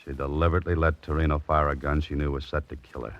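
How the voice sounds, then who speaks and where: Very deep, laconic American detective. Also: male voice, steady tone, fast. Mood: puzzled, terse. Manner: clipped, laconic, understated. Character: cool, wry amateur detective narrating his mystery. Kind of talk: 1940s radio drama narration and acting. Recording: dull old radio recording.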